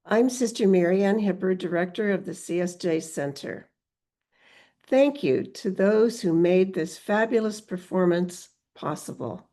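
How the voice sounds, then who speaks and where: A woman giving formal, prepared remarks as a ceremony presenter, with measured delivery. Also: lowish voice, mellow. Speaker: center director and award presenter.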